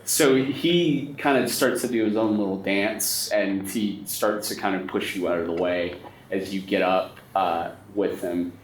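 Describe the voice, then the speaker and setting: A man's voice: deep voice, measured pace. A man acting as game master, narrating a tabletop horror game in an informal, descriptive way. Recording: roomy echo, background noise.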